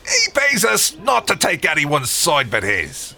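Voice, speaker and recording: Gravelly, forceful, cheeky henchman. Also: on the high side, huge pitch swings, unhurried, exaggerated voice. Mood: chummy, unapologetic. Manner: colloquial, matey. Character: cheeky mercenary henchman, chummy but self-serving. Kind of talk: 1930s-set adventure audio drama acting.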